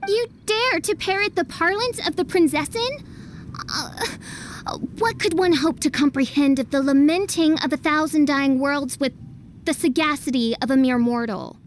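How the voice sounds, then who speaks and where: Haughty young princess of darkness for fantasy game, female actor. High-pitched, grandiose, pompous, archaic courtly delivery. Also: normal speed, a little hiss or hum, natural voice. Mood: indignant. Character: haughty theatrical teenage self-styled princess of darkness. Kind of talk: anime video game voice acting.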